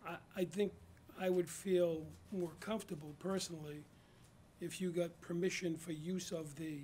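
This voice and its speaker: An older board member at a public meeting, asking measured, procedural questions. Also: male voice, high-pitched, steady tone, a little mellow, slight background noise. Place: town hall meeting room.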